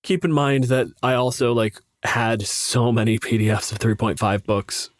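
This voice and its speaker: A man with a mid-pitched voice talks casually in a dry, close recording. He is a blunt, opinionated podcast co-host who swears freely as he discusses tabletop game rules. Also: moderate pace, no room sound.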